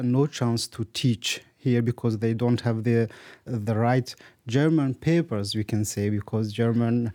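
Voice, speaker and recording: A man's voice: mid-range voice, mellow. A man in his thirties from Syria, a refugee teacher, speaking hesitantly and searching for words in an interview. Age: 30s.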